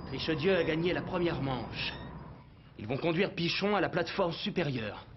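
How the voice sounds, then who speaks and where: A man's voice: a little high, expressive, moderate pace, background noise, lightly acted. A man voicing a resolute, earnest rebel leader in a French-dubbed cartoon. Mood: urgent briefing. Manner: commanding, earnest. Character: resolute heroic male leader of rebel cadets. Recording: dull lo-fi TV audio under music.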